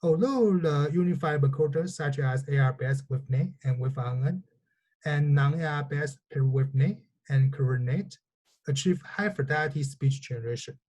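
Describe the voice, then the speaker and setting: Soft-voiced man giving a technical lecture. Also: a little high, rough recording. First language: Mandarin. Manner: measured explanatory delivery.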